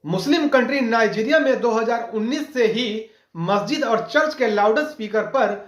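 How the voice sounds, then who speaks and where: A man's voice: very high-pitched, normal speed, echoey room. A man presenting a scripted news explainer as a narrator, addressing viewers directly.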